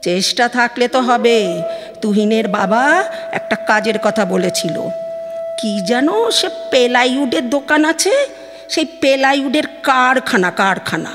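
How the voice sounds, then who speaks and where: A woman's voice: a little high, expressive, a little slow. A woman playing an aggrieved, gossipy middle-aged village mother from West Bengal on the phone in an audio drama, chatty and complaining, with a Bengali accent. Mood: weary, complaining. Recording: long studio reverb, music behind.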